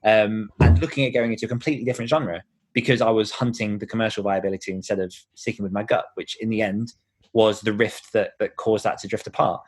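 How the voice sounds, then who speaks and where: A man's voice: on the low side, fairly even intonation, fast talker, slightly rough. A British man talking in an informal, explanatory way as the guest in a conversational podcast interview.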